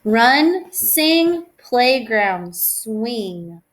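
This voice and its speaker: A woman's voice: a little high, very animated, extremely slow, poor audio. A woman giving a read-aloud lesson to young children as their teacher, instructive, stressing key words and posing questions that she answers herself. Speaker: elementary school teacher.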